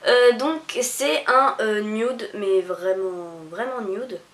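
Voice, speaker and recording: A young woman, chatting casually through a beauty haul, full of pauses and restarts. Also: on the high side, fairly bright tone. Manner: hesitant, many fillers and restarts. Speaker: beauty vlogger. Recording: echoey room.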